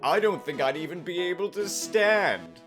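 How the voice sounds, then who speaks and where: A man's voice: sits high, very animated, moderate pace. A man playing an earnest questing knight who tells his own fantasy tale in a dramatic manner, with a wry streak. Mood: sly, storytelling. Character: earnest questing young male knight, wry and easily startled. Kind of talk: first-person dramatic fantasy narration. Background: music.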